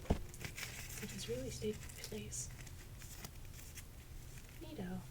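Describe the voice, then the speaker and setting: A young adult woman speaking softly in a breathy, whispery voice, in a gentle, casual ASMR unboxing style. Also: medium pitch, extremely slow, poor audio. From Mexican by nationality or heritage. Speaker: ASMR video creator. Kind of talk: soft-spoken ASMR unboxing.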